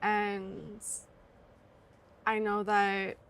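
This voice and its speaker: A woman's voice: medium pitch, monotone, very slow, a loud crowd. A woman in her twenties being interviewed in a played clip, answering in an earnest, composed way. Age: 20s. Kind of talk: magazine interview.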